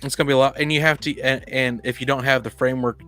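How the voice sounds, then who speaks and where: A man's voice: medium pitch, level tone, quick pace, bright, forceful tone. Casual man chatting over faint music. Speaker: podcast co-host and game master. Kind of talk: casual discussion of tabletop roleplaying games.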